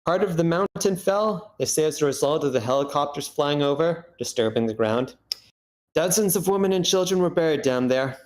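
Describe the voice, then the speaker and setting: A man's voice: on the high side, animated, moderate pace, close to an everyday voice, rough recording. A man playing an earnest, placating husband in a screenplay table read. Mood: gentle, reflective. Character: earnest, placating husband hiking with his wife. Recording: background noise on a live meeting.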